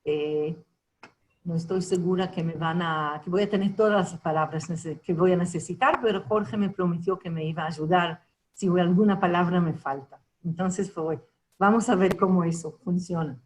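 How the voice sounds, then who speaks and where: A professor from Argentina answering questions on a video call, speaking haltingly with many fillers and restarts as she searches for words. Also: fairly low pitch, darkish tone, rough recording.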